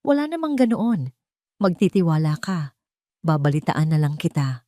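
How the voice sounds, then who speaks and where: A woman's voice: deep voice, big pitch swings, moderate pace, warm tone, no room sound, studio quality. A woman voicing a formal, evasive male investor in an audio drama, polite and noncommittal.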